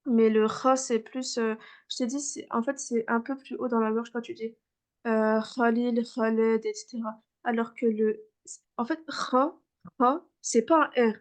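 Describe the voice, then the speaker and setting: A fairly high-voiced woman teaches a beginner class over a video call. She is patient, says each sound again and again, and fills her pauses with small hesitations. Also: fairly even intonation. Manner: patient, repeats sounds many times, many fillers. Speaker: Arabic reading teacher.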